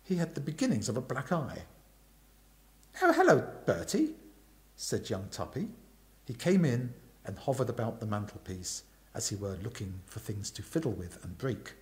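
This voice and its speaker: A man reading a comic story aloud in an expressive narrating style. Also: on the low side, moderate pace. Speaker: story reader.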